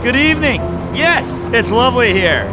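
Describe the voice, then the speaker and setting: A man's voice: very high voice, expressive, normal speed. A man playing a blunt, chatty party guest in a video game. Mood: polite, chatty. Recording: very loud music over the voice.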